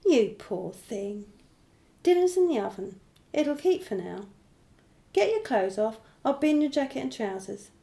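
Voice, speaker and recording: A doting wife with a creaky, mellow, slightly high voice. She fusses over her husband with practical care, in domestic radio drama style. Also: sing-song, exaggerated voice. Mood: concerned, caring. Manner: caring, briskly practical.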